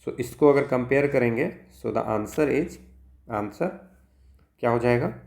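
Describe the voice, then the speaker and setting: A man's voice: mid-range voice, takes it slowly, creaky, darkish tone, reverberant. A man with an Indian accent working through exam problems as a tutor, thinking aloud step by step and often checking in with short tag words. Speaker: physics tutor. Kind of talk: exam problem-solving lesson, mixing Hindi and English.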